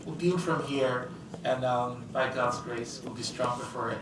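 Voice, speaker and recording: A man's voice: mid-range voice, steady tone, a little slow, noisy background. Man moderating from far in an echoey hall. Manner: casual, filler-heavy, encouraging. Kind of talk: closing remarks at a community event. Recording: echoey room recorded from afar.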